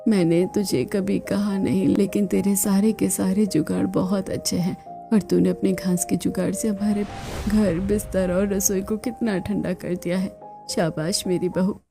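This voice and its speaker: A woman voicing a weary, remorseful older mother-in-law in a cartoon story, speaking softly, slowly and earnestly. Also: mid-range voice, fairly even intonation, vocal fry, music in the background. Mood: apologetic, affectionate. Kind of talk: Hindi cartoon story acting.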